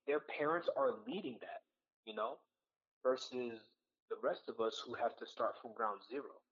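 An earnest, reflective man speaking at an ordinary middle pitch, checking in with his listeners as he works through an idea. The audio is a bit dull and lo-fi, with the top end rolled off like a home podcast setup. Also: normal speed, slightly rough. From United States. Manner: earnest and reflective, often checks in with "right?" and "you know". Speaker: podcast guest, Marine. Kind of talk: casual podcast conversation.

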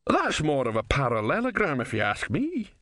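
A pack of petulant philosophers bickering over bets, all put on by one male reader. The voices climb high and jump around excitedly. Close, dry sound. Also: unhurried, no echo, exaggerated voice. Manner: petulant and squabbling. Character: squabbling grown male philosophers, petulant bettors.

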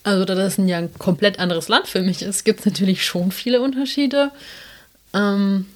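A woman's voice: medium pitch, moderate pace. A young woman from South Tyrol with a South Tyrolean German accent, chatting casually on a podcast, rambling with many fillers. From South Tyrol, Italy. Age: young adult. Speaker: podcast co-host. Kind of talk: casual chat.

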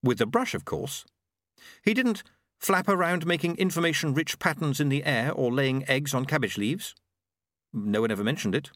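A man plays a naive storybook male with a raised, lively pitch. He sounds earnest and unsure of himself, often hesitating as he goes. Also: normal speed, no room sound, studio quality. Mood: flustered. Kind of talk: audiobook character acting.

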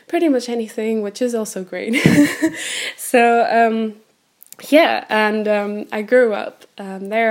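A woman's voice: medium pitch, darkish tone. Brief: twenties woman, German native speaker, candid rambling host, phone-recorded. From Germany, raised in Portugal. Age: 20s. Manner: chatty and candid, frequent ums and laughs. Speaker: podcast host. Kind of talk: unscripted solo podcast. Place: indoors, sitting on the floor.

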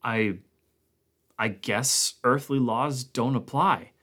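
A man voicing a small, nervous male biologist who stammers, in a high, startled voice. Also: takes it slowly, sharp tone. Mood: uneasy.

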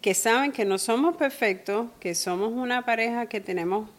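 A woman's voice: fairly high pitch, normal speed. A middle-aged woman with a Cuban accent tinged by Colombian speech, co-hosting a casual chat with her husband and laughing easily. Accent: Cuban, blended with Colombian. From Cuba. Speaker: co-host.

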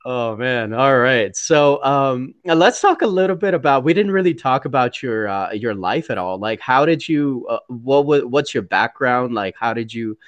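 A man's voice: medium pitch, lively intonation, normal speed, few pauses, dry recording, dull audio. A man hosting a casual interview, enthusiastic and effusive about his guest. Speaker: interview host for a community.

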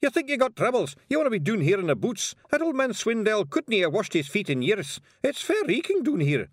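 A man voicing tiny, quarrelsome pixie men with Scottish accents, gruff and cussing. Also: high-pitched, big pitch swings, brisk, no echo, clean studio sound, voice pushed up. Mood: squabbling. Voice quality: gruff, shouty, coarse cussing.

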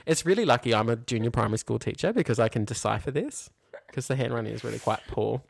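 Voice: medium pitch, fast, gravelly, a little hiss or hum. An Australian podcast co-host chatting casually and comedically, reading aloud with mocking, incredulous commentary. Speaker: junior primary school teacher.

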